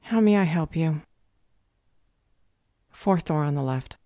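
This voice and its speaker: A woman playing a curt, officious, unhelpful clerk at a service window in an audio roleplay, deadpan and dismissive. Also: low-pitched, slow, no echo, clean studio sound. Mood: bored.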